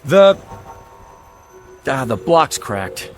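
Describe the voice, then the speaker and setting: A man's voice: mid-range voice, very animated, very slow. An actor playing a weary, earnest used car salesman in a radio drama, with a hesitant, folksy way of talking. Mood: reluctant, frank. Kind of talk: old-time radio drama acting.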